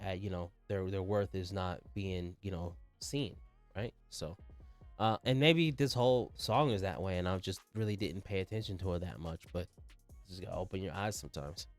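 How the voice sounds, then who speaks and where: Low-voiced man giving casual, enthusiastic reactions. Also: moderate pace, no echo, faint music behind. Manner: slangy, repetitive, enthusiastic. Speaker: music reaction video host.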